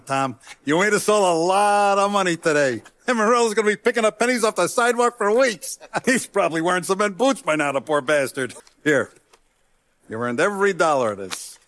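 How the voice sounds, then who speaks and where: A man voicing a middle-aged mob boss in a video game, paternal and proud, speaking deliberately and with authority in streetwise gangster talk. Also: on the high side, a bit bright. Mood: jovial, pleased. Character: commanding, paternal, proud mob boss, principled yet ruthless. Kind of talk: dramatic video game voice acting.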